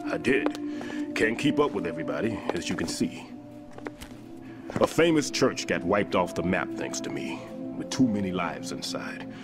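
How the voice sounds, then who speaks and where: A man's voice: low voice, expressive, moderate pace. An actor playing an older male retired bomb disposal expert, rueful and self-deprecating. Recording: game cutscene with music.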